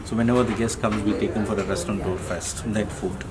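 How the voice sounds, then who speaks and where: A man gives casual interview answers as the owner of a restaurant. His voice sits fairly low. A busy dining room can be heard clearly behind him. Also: fairly even intonation, quick pace. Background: restaurant crowd.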